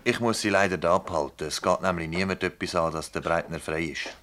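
A man's voice: low voice, a little fast, a bit bright, a little hiss or hum. A man acting an insistent, dry public prosecutor in a Swiss German dialect radio drama, questioning formally and pointedly. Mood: matter-of-fact.

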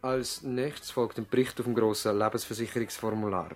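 Actor as matter-of-fact Swiss German country doctor. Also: male voice, on the low side, fairly even intonation, brisk, soft background music, lightly acted. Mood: businesslike, dictating. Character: earnest male doctor standing in at a village practice. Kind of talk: radio play acting, dialogue and dictation. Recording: 1970s radio drama recording.

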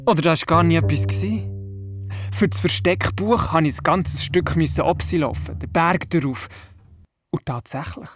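A man's voice: on the high side, close to an everyday voice. A man with a Swiss German accent playing a young, curious boy who narrates and talks in a radio play. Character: young boy on holiday at his grandmother's, curious.

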